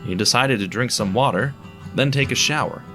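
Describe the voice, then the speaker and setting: A man's voice: medium pitch, normal speed, sharp tone, faint music behind. A man narrating an audio drama in a scripted, storytelling manner.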